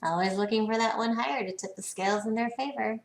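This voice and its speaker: A woman acting a savvy, poised businesswoman in a video game, speaking in a dry, sardonic, measured way. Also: fairly high pitch, gravelly. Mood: cool, sardonic.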